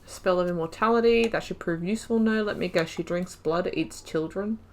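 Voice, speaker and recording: A woman's voice: lowish voice, fairly quick, a little hiss or hum. A woman hosting a game playthrough, chatting informally with wry asides and reading on-screen text aloud. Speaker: gaming video host.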